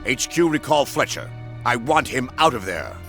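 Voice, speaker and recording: A man's voice: medium pitch, very animated, moderate pace, creaky, pushed and bright, faint music behind, full character voice. A man voicing a stern military superior in a video game, giving orders with authority. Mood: exasperated.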